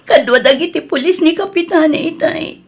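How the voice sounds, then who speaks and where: Radio drama casting: actress as distraught pregnant young daughter, extremely high-pitched, sobbing and anxious. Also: female voice, moderate pace, natural voice. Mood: worried, pleading. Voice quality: tearful, sobbing delivery. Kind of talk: Ilocano radio drama acting.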